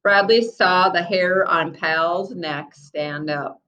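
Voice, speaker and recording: A woman reading a children's book aloud in an expressive storyteller's manner, with small asides to her listeners. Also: on the low side, takes it slowly, a little hiss or hum. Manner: expressive, acts out the children's dialogue.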